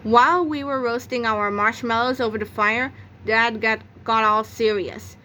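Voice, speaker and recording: Female narrator for a children's comic novel read-aloud, voice a little high, recorded with some background noise. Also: steady tone, moderate pace, pushed and bright. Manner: acts out sound effects and exclamations. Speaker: book narrator.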